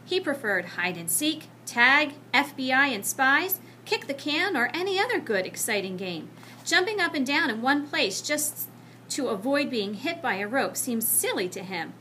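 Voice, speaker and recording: A woman reading a children's book aloud as an expressive narrator. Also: sits high, normal speed, a little hiss or hum. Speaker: storybook reader.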